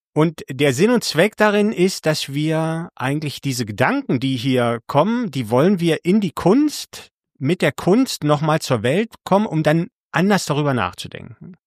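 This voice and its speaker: A man's voice: fairly high pitch, no room sound, studio quality. A man co-hosting a casual podcast, who rambles and corrects himself mid-sentence. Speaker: podcast co-host. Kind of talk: casual chat.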